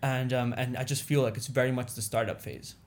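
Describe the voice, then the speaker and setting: Fast-talking young man, full of fillers. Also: mid-range voice, fairly even intonation. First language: Indonesian. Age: young adult. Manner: rambling, heavy on fillers like "you know" and "like". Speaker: guest, business owner. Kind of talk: casual podcast interview.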